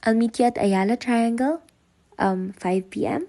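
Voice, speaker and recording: A woman's voice: fairly high pitch, unhurried, warm tone. Woman acting teasing young Filipina sweetheart. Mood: affectionate. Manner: chatty, teasing. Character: playful, affectionate, teasing young woman in love. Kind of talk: amateur radio drama phone dialogue, Taglish.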